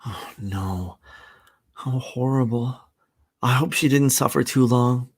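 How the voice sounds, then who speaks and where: A man voicing a gentle, caring wife and mother who speaks softly. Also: medium pitch, slow pace, husky, voice pushed up.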